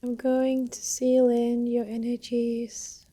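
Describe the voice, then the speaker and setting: A soft-spoken woman leading an ASMR energy-healing meditation in a soothing, deliberate manner. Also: fairly high pitch, completely flat delivery. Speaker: ASMR Reiki healer, host.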